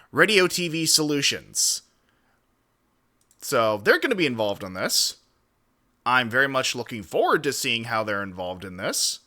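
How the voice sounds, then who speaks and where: A man's voice: mid-range voice, pushed and bright. A man hosting a reaction stream with casual, wordy commentary and mildly formal, roundabout turns of phrase.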